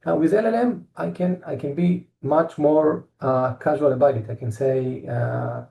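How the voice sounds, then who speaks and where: A middle-aged man with an Israeli accent giving a technical presentation, halting often with fillers and restarts. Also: a little high, vocal fry, warm tone, poor audio. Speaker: tech company presenter.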